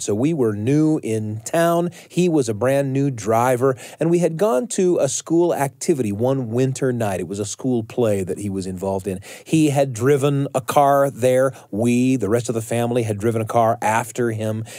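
Mellow middle-aged American storyteller man. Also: mid-range voice, dry recording, studio quality. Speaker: podcast host and storyteller. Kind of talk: family podcast hosting, personal storytelling. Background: faint music.

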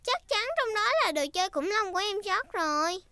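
Squeaky little girl piglet. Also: lively intonation, brisk, sharp tone, no room sound, exaggerated voice. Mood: playful, curious. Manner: childlike, eager, chatty. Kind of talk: children's cartoon dub. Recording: music behind the voice.

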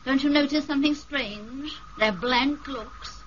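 A woman's voice: high-pitched, unhurried. An actress playing a poised, commanding aristocratic British lady villain in a 1970s radio drama. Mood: cold, commanding. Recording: dull, noisy 1970s radio recording.

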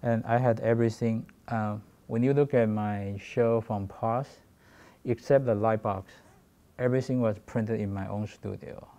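A man in his forties from Taiwan with a Taiwanese accent, giving a halting talk about his work with many fillers and restarts. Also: on the low side, steady tone, dark tone. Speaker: guest photographer. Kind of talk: presentation talk.

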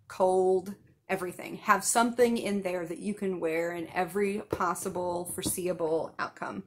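A woman in her thirties giving numbered tips in a casual chat to camera, seated on a couch at home, with small laughs and asides. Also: mid-range voice. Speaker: vlogger giving festival tips.

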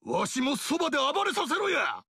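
A boisterous barbarian king roars his boasts and battle cries. His voice is high, gravelly and bright, and it swings wildly up and down. The video game recording is dry and close. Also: wildly swooping pitch, moderate pace, no echo, heavily acted. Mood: hearty praise. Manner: gruff rough-speech shouting battle cries. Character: boisterous burly barbarian king, brash and loud.

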